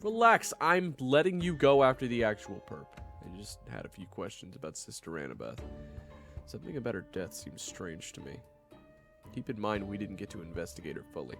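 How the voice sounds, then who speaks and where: A man's voice: fairly low pitch, brisk, creaky, over music. A man playing a brash, inquisitive young investigator who prods and needles in a fantasy audio drama. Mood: defiant, cocky. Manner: prodding, casual, animated. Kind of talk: fantasy audio roleplay acting.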